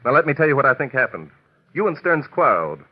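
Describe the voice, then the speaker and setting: An actor playing a suave, assured amateur detective in an old-time radio drama, speaking articulately and deliberately. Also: male voice, medium pitch, big pitch swings, slightly rough. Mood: accusing. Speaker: detective and story narrator. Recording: muffled vintage radio recording.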